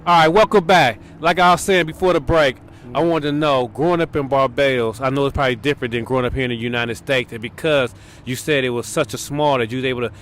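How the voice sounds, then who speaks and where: A man hosting a casual outdoor interview, asking quick prompting questions by a street. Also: mid-range voice, fairly bright tone. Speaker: show host, interviewer. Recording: faint street noise outdoors.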